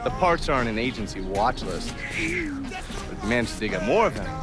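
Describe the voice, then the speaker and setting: Hot-tempered intelligence operative, mid-pitched, very varied intonation, cynical, brash, video game. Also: male voice, wildly swooping pitch, moderate pace, over loud music. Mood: briefing, confident. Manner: brash, foul-mouthed, talkative.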